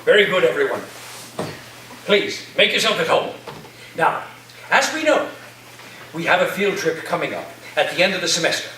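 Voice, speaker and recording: Eccentric professor, played by a male stage actor: high, bright voice, slow grandiose dreamy delivery, roomy distant pickup. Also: animated. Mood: grandly welcoming. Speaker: actor in a staged play reading. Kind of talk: theatrical staged reading. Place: echoey room at a live staged reading. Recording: roomy, distant mic, faint noise.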